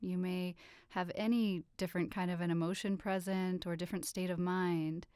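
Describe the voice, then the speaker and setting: A woman leading a guided relaxation meditation with soothing, gentle instructions and unhurried pauses. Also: fairly low pitch, fairly even intonation, smooth, no echo, studio quality. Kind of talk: guided meditation, yoga nidra.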